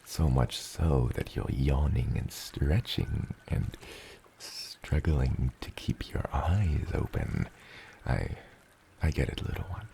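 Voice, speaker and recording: A man voicing a huge, gentle father dragon in an ASMR roleplay, with a gravelly, breathy rumble and tender, eloquent phrasing. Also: expressive, full character voice. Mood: reassuring, fond. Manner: slow, tender, eloquent, slightly old-fashioned wording.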